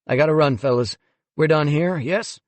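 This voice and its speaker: A man's voice: on the high side, moderate pace, dry recording, pitched above the performer's own voice, lightly acted. A man voicing a smug, pushy male museum executive who talks in a breezy, condescending way.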